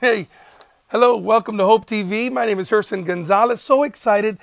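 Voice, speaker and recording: High-voiced man hosting a TV show. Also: moderate pace, creaky, distant mic. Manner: enthusiastic, playful, jokey. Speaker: TV show host. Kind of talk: excited talk show chat. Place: TV studio.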